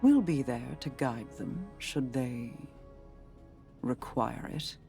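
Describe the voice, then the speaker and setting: A woman playing a regal, composed queen in a video game, speaking in a measured, formal, guarded and grave manner. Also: very deep voice, lively intonation, vocal fry, warm tone, soft background music, heavily acted. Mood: grave, reflective. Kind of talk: scripted video game monologue.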